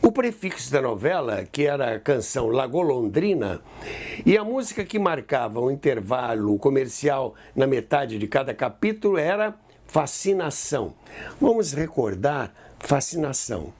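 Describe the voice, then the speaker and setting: A man's voice: mid-range voice, big pitch swings, normal speed, darkish tone. A Brazilian man hosting a history podcast in a conversational storytelling way, with rhetorical questions and asides. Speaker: podcast host.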